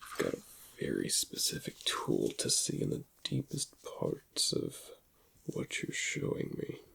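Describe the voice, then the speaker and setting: Whispering man with heavy gravelly creak. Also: medium pitch, huge pitch swings. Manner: slow, hushed, lingering delivery. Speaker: ASMR roleplay performer. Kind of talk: whispered ASMR roleplay.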